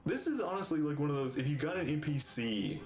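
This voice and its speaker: Guy streamer reacting to a game, rambly n excitable, echoey, faint music. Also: fairly high pitch, a little fast. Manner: excitable, rambling, talks back to characters. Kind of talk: casual gameplay reaction commentary.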